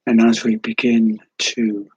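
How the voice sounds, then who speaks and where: Male meditation guide, soft breathy husky voice, even pitch, calm and unhurried, long pauses, close dry sound. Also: no echo, rough recording. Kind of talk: guided meditation, slow spoken instruction.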